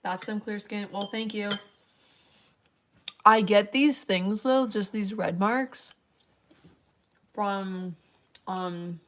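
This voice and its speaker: A young woman with a naturally raspy voice, worn thin from staying up late. She rambles through tangents with lots of "like" and "um," chatting easily to her viewers at a moderate pitch. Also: extremely slow, long pauses, a bit bright, slight background noise. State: tired, voice wearing thin late at night. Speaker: livestreamer. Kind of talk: late-night casual stream chat, reading chat aloud.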